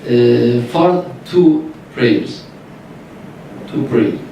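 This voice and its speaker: A man with a Turkish accent answering audience questions at a workshop, pausing often to find English words. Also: medium pitch, animated, warm tone, dull audio, slight background noise. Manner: halting, searching for English words. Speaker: Qur'an reciter. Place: lecture room at a workshop. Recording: echoey room, distant mic.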